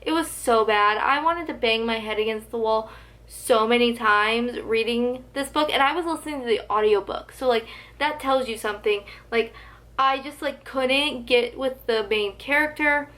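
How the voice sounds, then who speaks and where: A fairly high-voiced young woman gushes to the camera about her favorite books, talking casually and saying "like" a lot. Her voice is a little hoarse, as if it comes and goes. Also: moderate pace, a bit bright. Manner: gushing, chatty, heavy use of "like". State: slightly losing her voice. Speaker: book vlogger. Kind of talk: casual book tag vlog.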